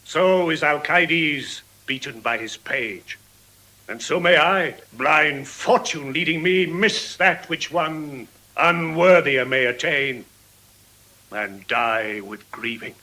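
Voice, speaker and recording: An actor playing a proud, ardent warrior prince, declaiming verse in a grandiose classical drama style. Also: male voice, on the high side, slow, pushed and bright, low-quality recording. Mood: rueful. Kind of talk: declamatory Shakespearean verse acting.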